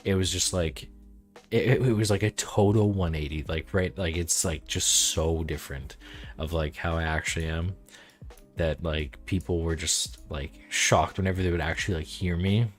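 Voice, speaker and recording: Young man, very deep calm voice, easygoing rambling chat, faint guitar music behind. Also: moderate pace. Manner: laid-back, rambling, heavy use of "like". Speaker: game developer streaming. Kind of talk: casual stream chat.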